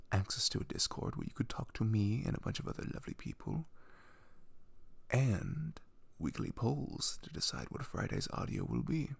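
A man's voice: lowish voice, gravelly, breathy edge, no room sound. A man, an audio roleplay creator, giving a casual spoken announcement before his audio.

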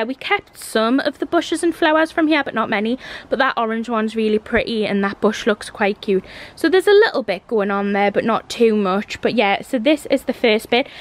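Young woman, Geordie accent, higher-than-average pitch, informal vlogger chat, casual walk-and-talk narration. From Newcastle, North East England. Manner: chatty and informal. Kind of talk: casual house tour narration. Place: walking through a house and garden. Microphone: handheld camera, held by speaker.

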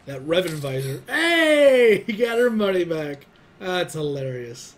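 A man's voice: high-pitched, measured pace. An American man in his twenties giving casual, crude, joking reaction commentary full of swearing. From Arizona, United States. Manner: excitable, crude, joking, muttered asides. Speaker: reaction video host. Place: small plain room indoors. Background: animated short soundtrack with music.

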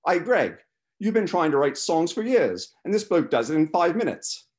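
A brash young bass player, put on by a male reader for a storybook. He is chatty, jovial and bossy. His voice sits high and jumps about with lively swings. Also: normal speed. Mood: excited.

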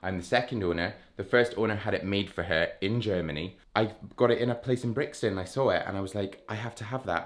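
Chatty low-voiced man touring his flat. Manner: chatty, self-mocking, enthusiastic. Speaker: vlogger giving a flat tour. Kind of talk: casual walk-through chat. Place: rooms of a London flat, indoors. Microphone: handheld camera at arm's length.

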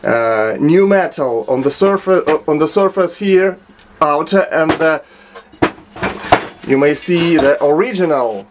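A man with a Russian accent explaining his own restoration work in a small home workshop, speaking haltingly with many filler sounds and restarts. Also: on the high side, expressive, background noise. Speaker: hobbyist restorer. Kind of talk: hesitant show-and-tell narration.

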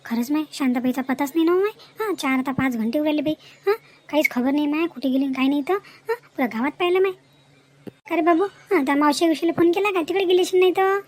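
A woman's voice: sits high, fast, a little mellow, natural voice. A woman voicing a fretful, homely village housewife in a cartoon comedy. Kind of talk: Marathi cartoon comedy dialogue.

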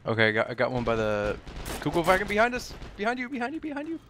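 A man playing a shooter with friends, bantering casually and excitably, repeating words and swearing. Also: high voice, very fast. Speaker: gamer, squad teammate. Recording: clear gunfire noise over voice. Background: game gunfire, artillery and clicks.

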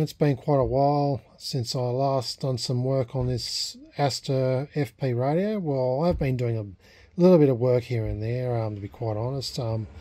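An Australian man with a soft-edged, mellow voice at a middle pitch talks through a hobby project in a casual vlog. He is halting and unscripted, thinking aloud as he goes. The sound is dry and close. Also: no echo. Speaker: hobbyist vlogger restoring a vintage radio. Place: small indoor home workshop at a bench.

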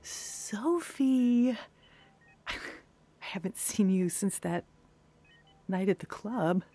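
A woman's voice: mid-range voice, extremely slow, warm tone. An actress playing an ailing woman acquaintance in a radio drama. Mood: surprised. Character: ailing female acquaintance, mildly surprised.

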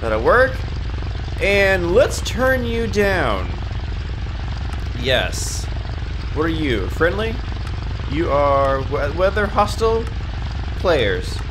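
A man's voice: high-pitched, noisy background. A young man casually commenting on his game, stuffed-up and nasal, rambling and correcting himself with small giggles. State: congested, nasal from a stuffy nose. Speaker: gaming YouTuber.

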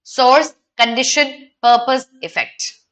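Bright-voiced young Indian woman teaching. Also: high-pitched, takes it slowly. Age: young adult. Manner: instructional, mixes Hindi into English. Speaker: exam prep teacher. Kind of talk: online lesson, talking to live students.

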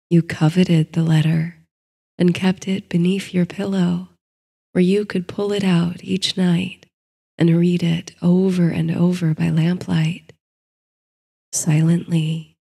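A woman narrating a bedtime sleep story and guided meditation in a soothing, gentle, hushed and measured way. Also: fairly low pitch, vocal fry, breathy edge.

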